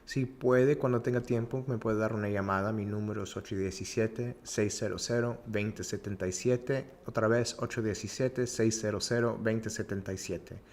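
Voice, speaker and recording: Courteous low-voiced man on sales calls. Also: steady tone, a little fast, few pauses, darkish tone. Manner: polite and courteous, says ma'am; speaks Spanish as a second language. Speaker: real estate agent. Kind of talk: working vlog of follow-up sales calls.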